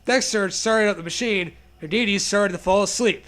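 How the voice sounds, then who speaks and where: High, bright young man reading aloud comedically. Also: normal speed. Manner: jokey, irreverent, laughs often. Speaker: podcast host reading bad fan fiction. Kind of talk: comedic read-aloud with casual riffing.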